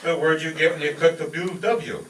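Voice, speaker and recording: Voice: medium pitch, steady tone, moderate pace, close to an everyday voice. A performer playing a slick, unflappable male straight man in a vaudeville-style radio comedy routine. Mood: matter-of-fact. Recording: echoey distant mic, noisy live stage.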